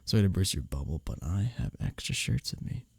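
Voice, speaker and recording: A man playing a smug, taunting office rival in an intimate roleplay audio drama, sardonic and teasing, with a husky low voice. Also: big pitch swings, fairly quick, darkish tone, dry recording, studio quality, exaggerated voice. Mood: sardonic, needling. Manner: sardonic, teasing, needling, with breathy chuckles.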